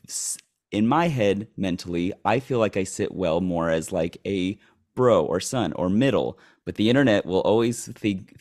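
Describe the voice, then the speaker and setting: A man's voice: fairly low pitch, darkish tone. A man hosting a casual interview, asking curious, probing questions and often rephrasing them mid-sentence. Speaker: host.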